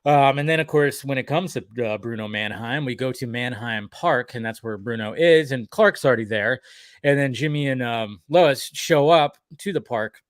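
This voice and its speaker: A man co-hosting a casual chat, animatedly recapping a cartoon episode with quick acted-out quotes and casual swearing. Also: medium pitch, dry recording, studio quality. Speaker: podcast co-host.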